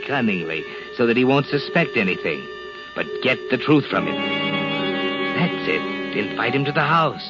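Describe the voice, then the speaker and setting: An actor playing a taunting, all-knowing narrator with a deliberate, insinuating delivery in 1940s radio mystery style. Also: male voice, fairly low pitch, natural voice. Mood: ominous, urging. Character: sinister, taunting omniscient narrator, a man's needling conscience. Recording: muffled 1940s radio recording.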